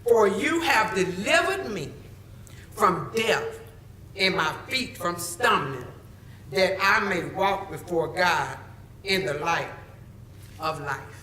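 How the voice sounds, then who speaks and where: Slow, solemn woman reciting scripture. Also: lowish voice, expressive, bright, forceful tone, slight background noise. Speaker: public speaker at a board meeting. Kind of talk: reciting scripture, preacher-like address. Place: boardroom. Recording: echoey meeting room.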